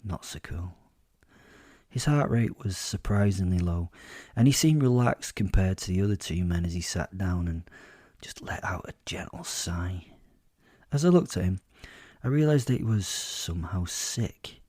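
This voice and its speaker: Dark, low-voiced man narrating wryly. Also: expressive, dry recording, clean studio sound. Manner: measured, wry, dramatic pauses. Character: werewolf telling his life story, wry and boastful. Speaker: narrator and host of a fiction podcast. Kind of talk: first-person dramatic audio story narration.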